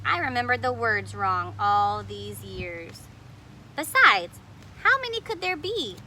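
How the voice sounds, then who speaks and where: A woman voicing a kindly, forgetful, excitable grandmother in a storybook, exclaiming and wondering aloud dramatically. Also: medium pitch, slow, a little hiss or hum, heavily acted.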